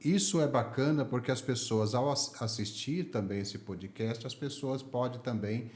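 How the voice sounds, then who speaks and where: A man's voice: medium pitch, moderate pace, darkish tone. A Brazilian man hosting a podcast interview, chatty and friendly, laughing easily with his guest. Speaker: podcast host. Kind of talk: casual interview chat. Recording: echoey room.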